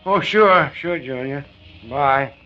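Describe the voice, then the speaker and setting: A man's voice: on the high side, slow pace, soft background music. Ailing ex-salesman in his fifties, discouraged but wryly folksy; 1940s radio acting, muffled old transcription. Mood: affable. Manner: folksy, wry, resigned. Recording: dull, band-limited 1940s radio transcription.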